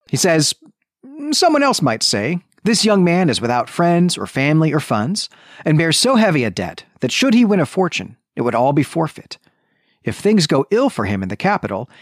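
A man's voice: mid-range voice, husky, dry recording, studio quality. A man co-hosting a podcast, retelling and explaining a story in a conversational way, often checking in with "right". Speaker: podcast co-host.

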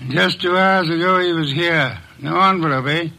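Smug old-time radio detective, gravelly and bright, slightly higher voice, talks real precise. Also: male voice, moderate pace, exaggerated voice, low-quality recording. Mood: measured. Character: shrewd, pompous middle-aged male detective. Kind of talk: 1940s radio acting.

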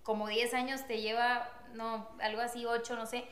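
Young woman, high-pitched, lively storyteller, roomy echo. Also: normal speed. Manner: animated, laughs often, acts out quotes. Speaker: guest telling their love story. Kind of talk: casual storytelling.

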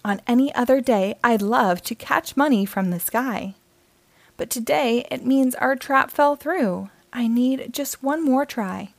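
A woman's voice: fairly high pitch, measured pace, creaky, no room sound. A woman reading the part of an eager, determined child dragon hunter in an earnest storybook delivery.

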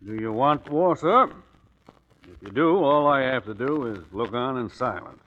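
An actor playing a blunt, soldierly general in old-time radio drama, respectful but doubtful. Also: male voice, mid-range voice, expressive, measured pace, muffled recording. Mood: grave, blunt. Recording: old radio recording, faint hiss.

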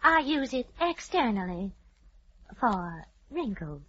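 A woman's voice: fairly high pitch, very animated, takes it slowly, pushed and bright, breathy edge, no echo, full character voice. An actress playing a genteel, sweetly poised society lady suspected of poisoning, in an old-time radio drama. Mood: gently insistent. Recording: muffled old radio recording.

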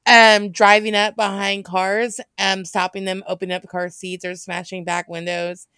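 A woman's voice: mid-range voice, flat delivery, moderate pace, bright, forceful tone, clear, resonant tone, no echo. An American woman chatting casually and candidly, in an informal, rambling way with self-interruptions and some swearing. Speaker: podcast co-host.

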